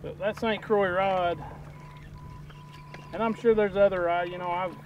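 A middle-aged man fishing from a small boat on a lake, talking to his viewers in a folksy, casual way and getting excited when he lands a fish. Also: sits high, unhurried, muffled recording. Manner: folksy, excited bursts while landing fish. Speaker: fishing vlogger. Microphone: wide-angle camera mounted at the boat's stern. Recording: outdoor boat recording with wind and water noise.

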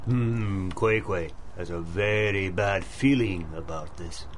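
A man playing a solemn old tracker in a 1930s-style radio drama, speaking gravely and formally. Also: deep voice, slow, slight background noise. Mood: grave, wary. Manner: grave, formal, refers to himself by name. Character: solemn old male tracker serving a hunter.

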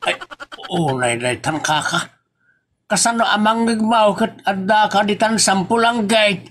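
A man's voice: sits high, unhurried, fairly bright tone, slight background noise. A man playing an irritable older villager in a comedy drama, grumbling and exclaiming in an Ilocano accent. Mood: exasperated.

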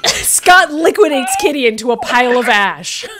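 A woman's voice: high-pitched, big pitch swings, moderate pace, crowd noise. A woman on a video call, joking casually and reading lines at a script table read. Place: home room, remote video call.

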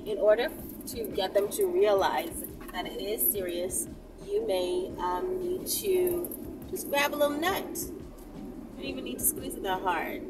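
A woman's voice: mid-range voice, very animated, measured pace. A woman in her thirties chatting casually and candidly to camera, answering viewer questions while driving. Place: inside a moving car, daytime. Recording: distant mic, loud car road noise. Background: vehicle and road noise.

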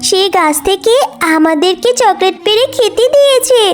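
A sweet, excitable little girl from a children's cartoon. The voice is extremely high, childlike and eager, with music clearly behind it. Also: moderate pace. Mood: eager telling.

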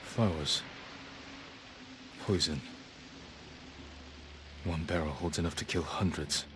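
A deep-voiced stoic samurai. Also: male voice, noisy background. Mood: grave. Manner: calm, measured, low. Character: stoic samurai lord, grave and measured. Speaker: game character.

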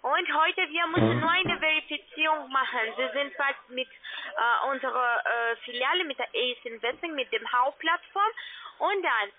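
A woman who is not a native speaker, talking as a call centre agent over the phone in halting, broken grammar, insistently. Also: sits high, a crowd in the background. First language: not German. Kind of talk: phone sales pitch. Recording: narrow telephone line.

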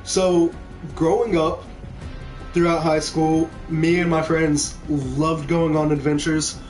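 Higher-voiced young American man, music behind. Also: level tone, slow, a little mellow, rough recording. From small town in Kentucky, USA. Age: young adult. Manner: rambling, conversational. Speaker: game streamer. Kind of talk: casual storytelling over gameplay. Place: indoor room at home. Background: background music.

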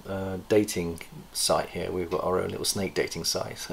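A man's voice: deep voice, steady tone, vocal fry, breathy edge. A middle-aged man, hosting a chatty snake-breeding vlog from an indoor reptile room. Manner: chatty, enthusiastic, pauses to recall details. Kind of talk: casual show-and-tell vlog. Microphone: camera across a table.

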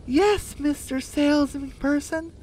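A man's voice: very high voice, unhurried, darkish tone, slight background noise, pitched above the performer's own voice, poor audio. A man voicing an addled, polite female cartoon chicken, dazed and oddly formal, in a comic radio drama.

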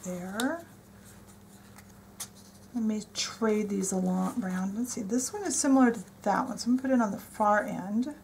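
A woman's voice: mid-range voice, moderate pace, vocal fry, pauses often, a little mellow, slight background noise. A woman casually narrating as she decorates her planner, chatty and enthusiastic, thinking aloud as she works at a desk. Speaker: planner vlogger. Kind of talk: casual show-and-tell narration. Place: indoors at a desk.

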